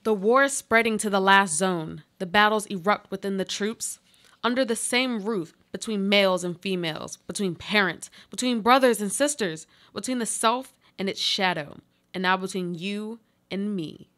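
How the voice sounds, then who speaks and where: A woman's voice: medium pitch, moderate pace, a bit bright, natural voice. A woman playing a desperate trapped woman, pleading and reproachful in a dramatic radio play monologue. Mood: bitter, pained.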